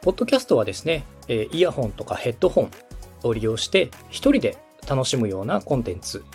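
Lively-pitched man explaining, music behind. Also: quick pace. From Osaka, Japan. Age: 30s. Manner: polite, step-by-step explaining, checks in with listener. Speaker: podcast host and radio director. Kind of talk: explanatory podcast talk to a co-host.

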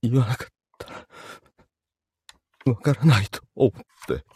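Guilty cowed boyfriend in audio drama, super husky breathy, halting and apologetic, dry sound. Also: male voice, mid-range voice, very animated, mellow, no room sound, heavily acted. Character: cowed, guilt-ridden cheating boyfriend held captive. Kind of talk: Japanese audio drama acting.

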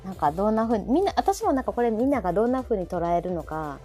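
A woman host speaks with a Kansai accent in an easy, conversational style. Her mellow voice swings freely up and down as she reacts and chimes in expressively. Faint music plays underneath. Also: medium pitch, fast talker, breathy edge. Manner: expressive, reacting with frequent backchannels. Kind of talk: casual chat.

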